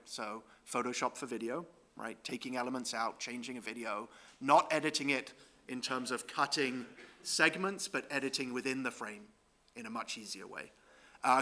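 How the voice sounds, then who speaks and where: A man's voice: mid-range voice, pushed and bright. A man giving a conference talk over slides, explaining step by step with frequent ums and "right?" checks. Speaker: conference presenter.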